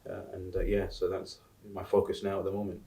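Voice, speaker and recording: Guy in his 40s from uganda, low voice, lots of um and restarts, sits back from the mic. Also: monotone, mellow, far from the mic. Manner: halting, many restarts and fillers. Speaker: interview guest. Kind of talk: casual interview talk.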